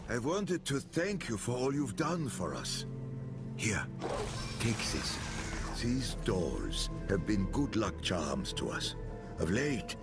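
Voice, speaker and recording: A man playing a humble, friendly farmer with a folksy way of talking in a video game. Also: medium pitch. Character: humble, grateful male farmer, friendly and wry. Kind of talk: video game character acting. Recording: music behind the voice.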